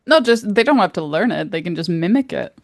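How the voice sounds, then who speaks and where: A woman's voice: lowish voice, expressive, fairly quick, no room sound, studio quality. A woman co-hosting a podcast in casual chat, pressing her questions again and again, thinking aloud with many hesitations. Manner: probing, keeps pressing questions. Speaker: podcast co-host.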